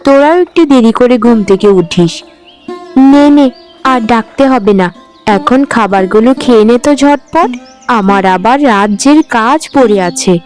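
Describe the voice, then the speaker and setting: A woman acts out a bossy village big sister in a cartoon. Her voice is high and sharp, and she nags as she talks, with music playing underneath. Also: normal speed, close to an everyday voice. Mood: brisk, grumbling. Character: bossy, scolding elder sister of a poor village family. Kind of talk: Bengali cartoon voice acting.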